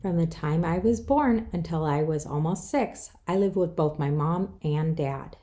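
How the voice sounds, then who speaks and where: A woman's voice: deep voice, measured pace, warm tone. A woman author reading her own children's book aloud, telling it in the first person. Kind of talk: scripted children's story read-aloud.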